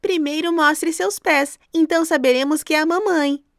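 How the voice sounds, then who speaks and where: A tiny young goat from a children's tale , played by a woman. The voice is high, childlike and plaintive, timid and trusting, over background music. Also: steady tone, normal speed, creaky. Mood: wary. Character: small, frightened, trusting young goat kid.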